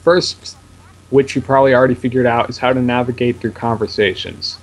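Deep-voiced let's-play co-host, husky and dry, reads tutorial text flatly. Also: male voice, fairly quick, poor audio. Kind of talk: casual gaming commentary.

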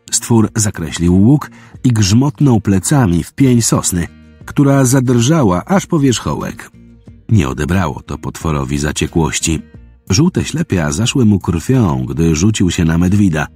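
A man's voice: low voice, mellow, breathy edge. A man narrating a fantasy audiobook in a dramatic, scripted storytelling style.

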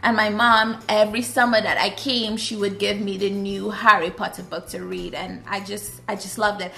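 Animated Trinidadian woman vlogging. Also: mid-range voice, normal speed, creaky. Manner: animated, expressive, gestures while talking. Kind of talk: casual chat to camera.

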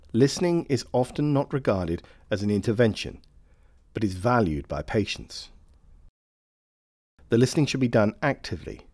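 A man reading scripted instructional narration for a health training film in a formal, measured way. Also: mid-range voice, darkish tone, dry recording, clean studio sound.

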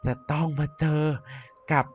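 A woman's voice: a little slow, lightly acted. A woman voicing a vain, mischievous female vampire queen in a Minecraft cartoon, talking in a theatrical, self-important way over faint music. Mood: scheming. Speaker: narrating YouTuber doing all voices. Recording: slightly dull with faint music.

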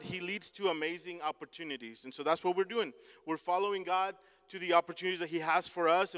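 A high, smooth-voiced man with a Mexican Spanish accent addresses a congregation from a church stage. He speaks earnestly and haltingly, circling back and restarting phrases, and his voice carries a long hall reverb. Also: fairly bright tone. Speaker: missionary. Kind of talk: church pulpit talk.

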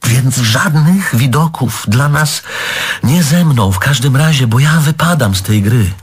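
A man's voice: mid-range voice, expressive, measured pace, slightly rough, soft background music. An actor playing a bitter, needy middle-aged man in a stage play, arguing emphatically with his lover and catching himself mid-word. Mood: wounded. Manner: emphatic, self-correcting, swallows curses mid-word.

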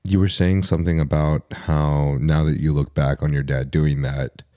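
A man's voice: a bass voice, level tone, normal speed, mellow, dry recording. A young man hosting a podcast, speaking casually and in a motivational way. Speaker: podcast host.

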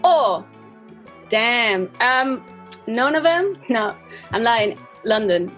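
A woman's voice: on the high side, animated, drawn-out words, a bit bright, soft background music, poor audio. A young woman chatting casually as a musician being interviewed over a video call from a room at home. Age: young adult. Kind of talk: casual chat.

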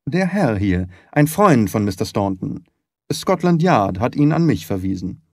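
Audiobook role: male private detective , voiced by the narrator, measured, polite, quietly mischievous, expressive pitch. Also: no echo, clean studio sound. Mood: composed. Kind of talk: dramatised audiobook reading.

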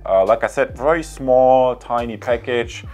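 A man's voice: medium pitch, a little slow, darkish tone. A man casually reviewing and unboxing a gadget indoors, chatty and full of fillers. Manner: chatty, addresses viewers as guys, many fillers. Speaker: tech reviewer. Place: indoor room with wooden table.

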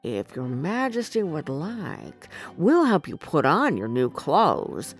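A sly storybook swindler, played by a woman, pitched very low. He presents his wares with showy, persuasive flattery and a lively swing in the voice. Faint music sits behind him. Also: slow pace, breathy edge, full character voice. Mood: smooth, flattering. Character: sly smooth-talking male swindler posing as weaver.

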